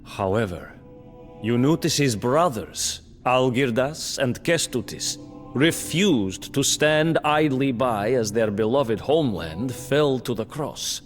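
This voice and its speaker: A haughty, imprisoned nobleman telling his bitter tale in a game cutscene. He speaks slowly and theatrically, his pitch rising and falling widely. The voice rings with long reverb. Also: animated. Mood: grave, proud. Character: bitter, proud imprisoned Lithuanian nobleman recounting his downfall. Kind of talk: dramatic storytelling narration for a strategy game cutscene. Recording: long reverberant hall, music underneath.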